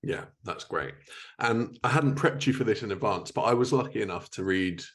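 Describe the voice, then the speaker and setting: A man's voice: fairly low pitch, moderate pace. A man hosting a podcast, chatting casually as he interviews his guests. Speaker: podcast host.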